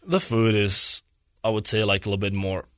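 A man's voice: fairly low pitch, little pitch movement, moderate pace, fairly bright tone, no room sound. A young man from Czechia speaking English as an in a casual interview, searching for words and rambling earnestly. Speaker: guest, hockey player.

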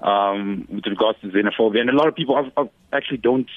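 A man's voice: lowish voice, quick pace, no room sound. Man on a tinny radio line. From Zimbabwe. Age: 30s. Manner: relaxed, many fillers and restarts. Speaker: guest. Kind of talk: casual interview. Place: radio studio. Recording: dry, phone-like radio studio sound.

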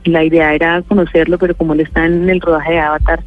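A woman's voice: low-pitched, little pitch movement, fast talker, dry recording. A woman with a Colombian accent, giving a phone interview as an actress and talking through her answers with many fillers and restarts. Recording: tinny phone line, dry.

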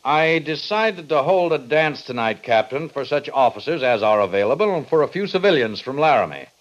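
Man playing animated army major, old radio sound. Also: mid-range voice, close to an everyday voice. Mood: genial. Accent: American. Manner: authoritative, measured, military. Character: firm, by-the-book army major, avuncular older officer. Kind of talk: 1950s radio western acting. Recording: dull lo-fi 1950s radio recording.

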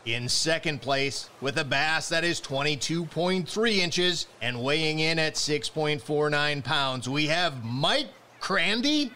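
An actor playing an earnest, easily flustered small-town fishing tournament reporter in a radio play. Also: male voice, a little high, expressive, slow pace, pushed and bright, heavily acted. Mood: flustered, hesitant. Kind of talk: radio play acting as live sports broadcast announcing.

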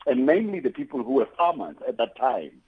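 A South African man giving conversational interview answers in a halting way, often saying "you know". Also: medium pitch, big pitch swings. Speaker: guest, theatre playwright and producer. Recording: tinny phone-like line.

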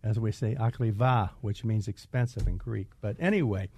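A man's voice: fairly low pitch, normal speed, mellow, husky. An older man hosting a radio home show, talking casually and repeating himself as he goes. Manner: chatty, repeats words, stumbles.

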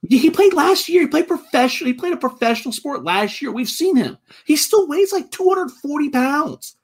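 A man hosting a sports podcast, talking fast and loose, profane and repeating himself as he gets worked up. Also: very high voice, very animated. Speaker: podcast host. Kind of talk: casual sports chat.

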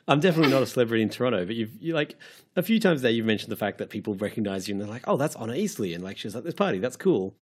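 A man's voice: medium pitch, very animated, very fast, slightly rough. An Australian man co-hosting a podcast, talking casually with a dry, teasing manner. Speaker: podcast co-host.

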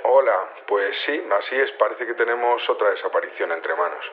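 Male security chief, low voice, thin phone audio, hesitant, colloquial, unbothered, radio drama. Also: expressive, brisk, slight background noise. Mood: casual, matter-of-fact. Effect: telephone filter. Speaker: head of security. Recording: thin, narrow phone line.

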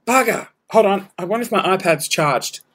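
A young Australian woman hosting her podcast at home, her voice worn hoarse, chatting in a rambling, self-mocking way with scattered asides. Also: mid-range voice, animated, fairly quick. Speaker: podcast host. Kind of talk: casual solo podcast chat. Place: home room, balcony door open.

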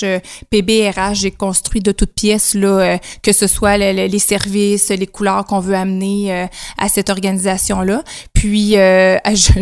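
A woman's voice: medium pitch, clear, resonant tone. A woman from Quebec with a Québécois French accent, a guest in a podcast interview, speaking candidly and thoughtfully with many hesitations. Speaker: guest entrepreneur.

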